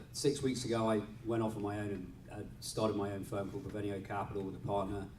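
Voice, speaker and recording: A man speaking on a conference panel in a measured way with some fillers. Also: on the low side, a little mellow, a little hiss or hum. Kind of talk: panel discussion. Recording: echoey room, distant mic.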